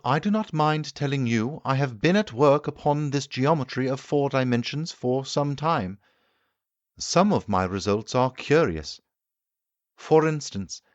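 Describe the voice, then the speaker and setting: A man voicing an eager, assured Victorian gentleman inventor lecturing his guests in an emphatic, declamatory way, as in an audiobook. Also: mid-range voice, no room sound, lo-fi sound, voice pushed up, lightly acted. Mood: confident lecturing. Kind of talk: audiobook character acting.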